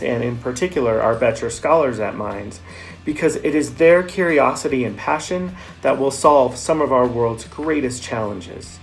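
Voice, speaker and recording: A man's voice: medium pitch, vocal fry. A man giving a prepared testimonial in formal sentences.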